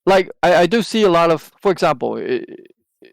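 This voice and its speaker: Gravelly man. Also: a little high, animated, fairly quick, no room sound. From Taiwan. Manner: rambling, with many restarts and hesitant fillers. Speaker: podcast co-host. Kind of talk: casual chat.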